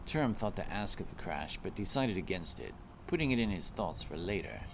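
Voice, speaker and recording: Male narrator, deep voice, gravelly fry, fantasy storytelling, noticeable background ambience. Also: sing-song, fairly quick, background noise.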